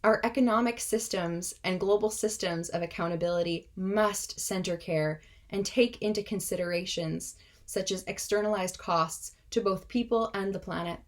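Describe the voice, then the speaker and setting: A woman in her twenties, a native Russian speaker, delivering a prepared speech in an earnest, measured, impassioned way. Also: mid-range voice. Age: 20s.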